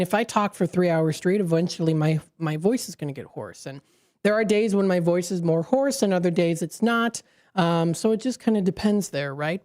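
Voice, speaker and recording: Woman, radio host, lowish voice, mellow tone, studio mic, explanatory talk with fillers. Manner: explanatory, with frequent fillers and restarts. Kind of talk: radio talk segment on brain science.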